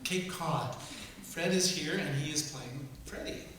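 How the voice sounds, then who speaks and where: A man introducing people at a live stage event, speaking informally and haltingly, without a script. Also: on the high side. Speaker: presenter introducing the cast. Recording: echoey hall, far mic, loud audience noise.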